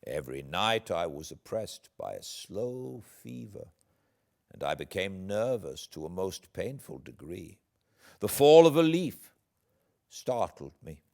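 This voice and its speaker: A British man, an audiobook narrator, reading classic literature aloud in a measured, expressive, dramatic way. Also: deep voice, creaky. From Britain.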